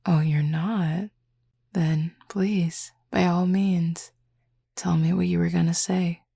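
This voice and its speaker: A woman playing a flirty, confident female werewolf in an intimate audio roleplay, with a husky, gravelly voice and a teasing, drawling, playfully commanding manner. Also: on the low side, steady tone, slow pace, warm tone, exaggerated voice.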